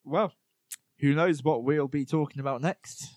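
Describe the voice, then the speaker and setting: A man's voice: on the high side, a little slow, a little mellow, no echo. A young man co-hosting a podcast in casual chat, rambling and filling his talk with "like" and "you know". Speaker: podcast co-host.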